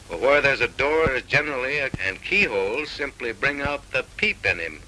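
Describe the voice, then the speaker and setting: Bright-voiced 1930s film narrator, a man. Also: medium pitch, very animated, moderate pace. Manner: arch, tongue-in-cheek, theatrical delivery. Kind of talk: 1930s comic film narration, mock-serious. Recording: noisy 1930s film soundtrack.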